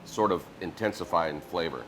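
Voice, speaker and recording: A man in his sixties from Montana with an American accent, a chef explaining beef aging in a relaxed, halting way. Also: sits low. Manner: relaxed, repeats words as he explains. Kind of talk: casual interview. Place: commercial kitchen butchery room, indoors. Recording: music bed under the voice. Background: background music.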